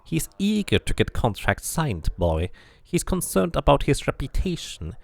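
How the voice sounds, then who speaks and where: A man's voice: on the high side, sing-song, a little slow, creaky, no room sound, pitched above the performer's own voice, exaggerated voice. A man voicing a cranky, scornful old woman who gossips and mutters insults in a fantasy game.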